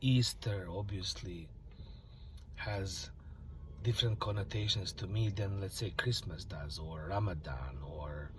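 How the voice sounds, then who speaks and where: A man with a low, deep voice talks to camera in a loose, reflective monologue. He muses softly and keeps slipping into near-whispers. A faint hiss of noise sits under the recording. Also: unhurried. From Bosnia. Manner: musing, soft-spoken, drifts into near-whispers. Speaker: vlogger. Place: parked car.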